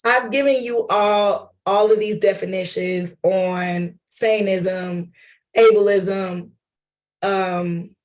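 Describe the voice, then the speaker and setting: Hoarse man with a cold, slow and chatty, talking to a workshop group, flat delivery. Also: high voice, low-quality recording. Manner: chatty, loose, addresses audience as y'all and honey. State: losing voice from a cold. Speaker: lecturer and playwright. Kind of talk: informal workshop talk.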